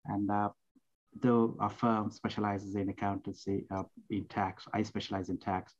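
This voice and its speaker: A man's voice: lowish voice, completely flat delivery, moderate pace, darkish tone, muffled recording. A middle-aged man from Sri Lanka, a guest accountant, answering interview questions over a video call with many hesitations. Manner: hesitant, many fillers and restarts. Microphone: headset on video call.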